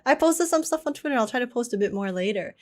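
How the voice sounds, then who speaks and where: Bubbly young woman streamer, high voice. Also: animated, fast talker, a little mellow. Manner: bubbly, giggly, chatty. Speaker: game livestreamer. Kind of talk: casual livestream chat, reading and answering viewer comments. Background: game music.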